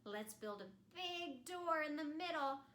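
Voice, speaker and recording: A woman performs a children's story as an ice queen. Her voice is high and lively, and she speaks slowly and theatrically, in simple words meant for young listeners. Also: a little hiss or hum. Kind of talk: animated first-person children's storytelling. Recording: roomy echo.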